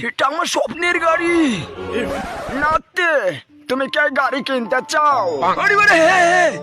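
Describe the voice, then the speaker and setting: A man voicing an excitable, foul-mouthed young goofball in a crude comedy cartoon, exclaiming in slangy talk. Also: extremely high pitch, normal speed, music in the background. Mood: excited.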